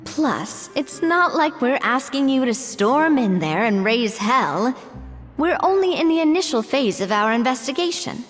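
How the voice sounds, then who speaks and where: Very high female voice, swooping intonation, upbeat young game heroine, reassuring, persuasive, reverberant. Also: unhurried. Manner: reassuring, expressive. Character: confident, encouraging young woman investigator, upbeat and persuasive. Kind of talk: video game voice acting. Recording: long reverb with music behind.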